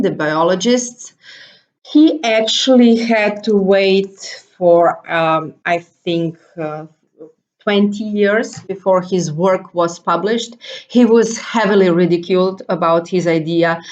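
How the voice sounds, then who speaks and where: Slovenian-accented woman, conference speaker, lower voice, hesitant with fillers, muffled audio. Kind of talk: presentation talk.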